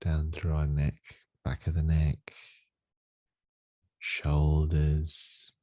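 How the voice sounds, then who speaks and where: A man leading a guided meditation in a gentle, unhurried, soothing manner with long pauses. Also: a bass voice, monotone, dry recording. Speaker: meditation teacher.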